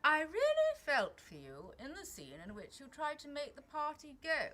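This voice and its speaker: Woman putting on a high, gushing old queen. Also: huge pitch swings, normal speed, bright, forceful tone, exaggerated voice. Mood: gushing sympathy. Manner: high, swooping, prim. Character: gushing, sympathetic elderly queen.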